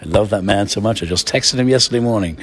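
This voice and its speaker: A man's voice: on the low side, fast talker, a crowd in the background. A man hosting a radio food interview, effusive, chatty and theatrical. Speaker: radio food show host.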